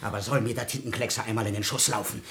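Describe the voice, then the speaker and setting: A man's voice: lowish voice, fairly even intonation, racing, a bit bright, lightly acted. An actor playing a hot-tempered middle-aged musician father in a classical radio play, blustering and scolding. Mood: angry. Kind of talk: classical German stage acting, radio play.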